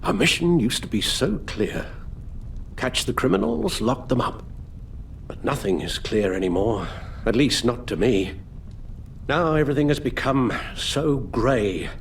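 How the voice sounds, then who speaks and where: An actor playing an elderly British butler in a video game, formal and measured, weary and full of sorrow. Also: male voice, mid-range voice, sing-song, vocal fry, slight background noise. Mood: pained reproach. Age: older. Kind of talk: dramatic video game acting.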